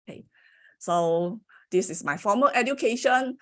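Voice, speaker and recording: A woman's voice: fairly high pitch, expressive, normal speed, a bit bright. Hesitant Malaysian woman giving a talk. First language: Mandarin. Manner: hesitant, frequent "okay" and "uh" fillers. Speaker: engineering lecturer giving a career talk. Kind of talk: presenting her career story with slides.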